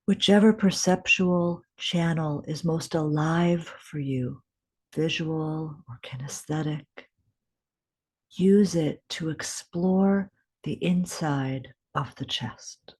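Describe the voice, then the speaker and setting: A woman guiding a meditation in a gentle, unhurried way, with deliberate pauses and a soft, breathy edge. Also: sits low. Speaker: meditation guide. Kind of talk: guided meditation, scripted instruction.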